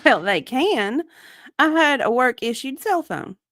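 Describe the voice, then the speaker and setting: A woman's voice: high-pitched, very animated, measured pace, a bit bright, no echo, studio quality, exaggerated voice. A woman voicing an evasive, matter-of-fact victim advocate giving clipped, hedging answers in a comedic deposition reading. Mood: guarded.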